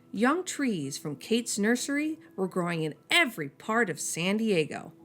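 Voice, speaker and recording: A woman reading a children's picture book aloud as a storyteller, slowing down and dropping soft and low on each refrain.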